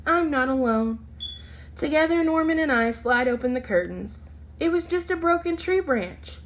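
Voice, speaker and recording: A woman reading a children's picture book aloud in an expressive, deliberate, animated storytelling manner. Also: sits high, slight background noise.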